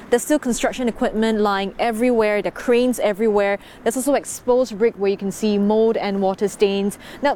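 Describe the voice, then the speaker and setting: A woman's voice: medium pitch, darkish tone, a little hiss or hum. Woman reporting on location.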